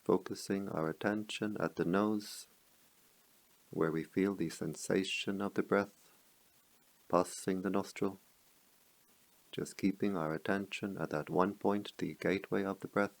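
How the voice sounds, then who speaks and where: A man guiding a meditation as a teacher, speaking calmly in measured short phrases with long pauses. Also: deep voice, gravelly, low-quality recording. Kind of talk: guided meditation.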